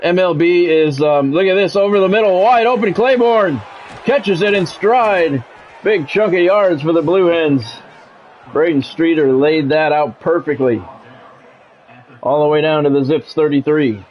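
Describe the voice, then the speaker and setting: A man's voice: on the high side, measured pace, dull audio, a little hiss or hum. A middle-aged man doing live football game commentary as a streamer, with a practiced sportscaster delivery and casual asides. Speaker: video game streamer and play-by-play announcer.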